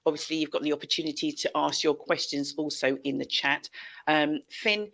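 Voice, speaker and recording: A middle-aged woman presents a casual online show with plenty of fry in her voice. She is effusive, often repeating words for emphasis. She speaks into a headset on a video call. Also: low voice, steady tone, moderate pace, a bit bright. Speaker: show host. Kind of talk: casual livestream hosting. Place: small indoor room on video call.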